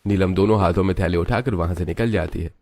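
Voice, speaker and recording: A man's voice: low voice, fast, dark tone. A man narrating a scripted cartoon story.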